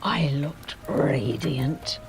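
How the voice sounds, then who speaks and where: A woman's voice: deep voice, drawn-out words, over music, heavily acted. A woman playing a vain, self-absorbed middle-aged actress and mother in an audio drama, grand and boastful.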